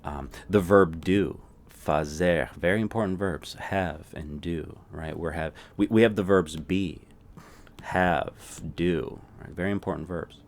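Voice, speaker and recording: Man, language teacher, very low voice, relaxed step-by-step explaining, keeps asking "right?". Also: unhurried. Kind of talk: recorded language lesson.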